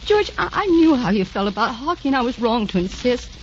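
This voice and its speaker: An actress playing a devoted, emotional young socialite fiancée, tender and pleading in 1940s radio drama style. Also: female voice, fairly high pitch, big pitch swings, a little fast, slight background noise. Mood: pleading, loving. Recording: muffled 1940s radio recording.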